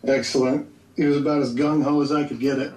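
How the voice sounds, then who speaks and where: A man's voice: mid-range voice, little pitch movement, darkish tone. Easygoing male guest in an echoey room. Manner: easygoing, thoughtful, pauses to think. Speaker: guest voice actor. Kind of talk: casual podcast interview. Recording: echoey room, distant from the mic.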